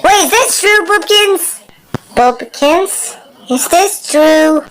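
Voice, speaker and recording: A woman voicing an excitable, lovelorn female fish creature in fan cartoon clips, theatrical and self-pitying, halting mid-sentence. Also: very high voice, full character voice, rough recording. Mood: indignant, dramatic.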